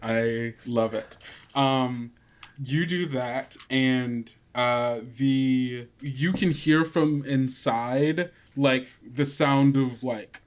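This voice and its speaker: A game master narrating an improvised tabletop game casually, haltingly thinking aloud. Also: medium pitch. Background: guitar music.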